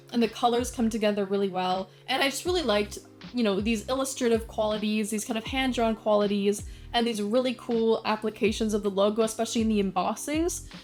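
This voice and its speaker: A young woman vlogging casually about brand design, chatty and full of fillers, with self-deprecating asides. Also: fairly high pitch.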